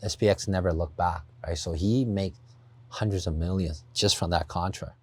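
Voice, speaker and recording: A man who grew up in Taiwan sits in as a podcast guest, walking through his investing ideas with patient, earnest explanation. His voice sits low, and the close-miked studio sound is dry with no room echo. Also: steady tone, normal speed, darkish tone, breathy edge. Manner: earnest explaining with frequent "you know" and "right?". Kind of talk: podcast interview.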